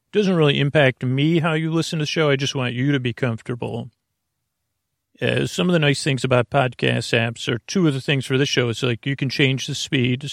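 A man hosting a bedtime podcast, rambling through an intro in a creaky voice with a meandering, halting, muttering delivery. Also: medium pitch, no room sound, studio quality. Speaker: podcast host.

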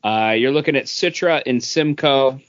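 A man's voice: mid-range voice, normal speed, dry recording. A man hosting a podcast in a casual, conversational style. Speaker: podcast host. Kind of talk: casual chat. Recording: dull lo-fi, dry close sound.

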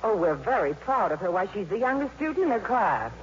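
A woman's voice: mid-range voice, very animated, fairly quick, natural voice. Woman as a gushing, coquettish lady schoolteacher. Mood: bright, gushing. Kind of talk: 1950s radio drama acting. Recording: muffled, noisy 1950s radio recording.

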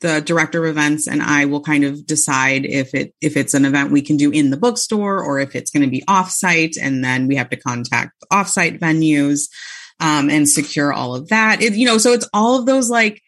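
A woman's voice: deep voice, moderate pace, fairly bright tone. A woman chatting casually as an interview guest, informal and giggly in manner. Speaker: guest, bookseller and author.

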